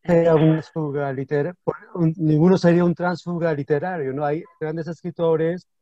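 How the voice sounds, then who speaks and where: A man's voice: on the high side, steady tone, moderate pace, warm tone, dry recording, lo-fi sound. A man musing in a panel discussion over a video call, tossing questions to the others. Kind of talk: casual discussion.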